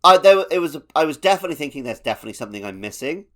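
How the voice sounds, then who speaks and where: A man's voice: a little high, very animated, very fast, fairly bright tone. A man co-hosting a podcast, talking casually about a video game. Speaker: podcast co-host.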